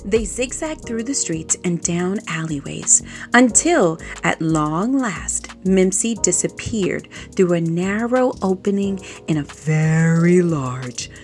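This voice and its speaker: A woman reading a children's story aloud in an expressive way, drawing out words for dramatic emphasis. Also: on the low side. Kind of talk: expressive read-aloud narration for young children.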